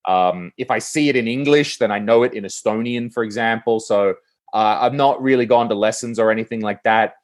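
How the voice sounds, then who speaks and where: A man with an Australian accent, a podcast guest talking casually and animatedly, with lots of fillers and quick restarts. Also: lowish voice. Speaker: podcast guest, comedy producer. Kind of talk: casual conversational interview.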